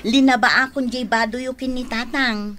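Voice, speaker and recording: Woman acting bubbly high-voiced young daughter. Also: fairly even intonation, moderate pace, a bit bright, soft background music, lightly acted. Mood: eager. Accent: Northern Philippine. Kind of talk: radio drama acting.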